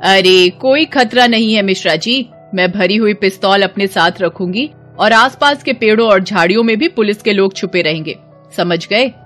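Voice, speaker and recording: A woman's voice: medium pitch, moderate pace, fairly bright tone, faint music behind, lightly acted. A woman playing a commanding lady police inspector. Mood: confident. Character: confident, commanding female village police inspector. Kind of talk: cartoon story acting.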